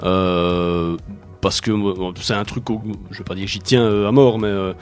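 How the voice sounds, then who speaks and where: Male rock musician, interview chat, hesitant and laughing easily, slightly low voice. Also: moderate pace, clean tone, soft background music. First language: French. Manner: hesitant, many fillers and restarts, laughs easily. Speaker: musician, band songwriter. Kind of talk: casual interview.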